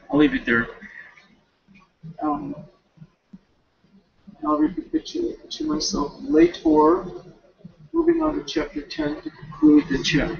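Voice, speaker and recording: High-pitched, husky young-sounding man reading aloud. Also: lo-fi sound. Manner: expressive, stumbling over words. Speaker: student. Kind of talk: reading a novel aloud with casual asides.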